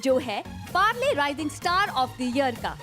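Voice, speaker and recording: A woman with a very high, bright voice hosts an award show on stage, trading teasing banter and announcing awards. Loud music plays behind her. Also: big pitch swings, measured pace. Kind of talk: stage emcee patter.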